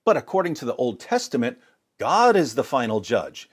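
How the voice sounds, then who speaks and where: A man's voice: medium pitch, sing-song, normal speed, slightly rough. A man presenting scripted religious commentary and scripture readings in a dramatic, rhetorical manner. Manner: dramatic, rhetorical, sarcastic mock alarm. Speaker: apologetics presenter.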